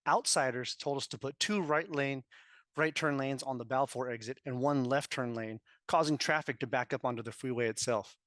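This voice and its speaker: A man reading out a prepared public comment at a council meeting, measured and persuasive. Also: mid-range voice, fairly bright tone, no room sound.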